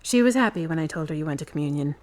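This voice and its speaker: A woman's voice: low voice, animated, racing, a little mellow. A woman playing a frank, caring friend with a conversational, matter-of-fact way of talking, in an audio drama. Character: frank, caring woman, tired but gently teasing. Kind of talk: hobby audio drama acting.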